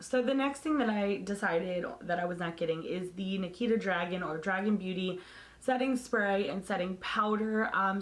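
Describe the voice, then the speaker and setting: Woman, beauty vlogger, hoarse voice, casual to-camera chat, blunt, opinionated, mid pitch. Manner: candid and opinionated, blunt about products. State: hoarse, losing her voice.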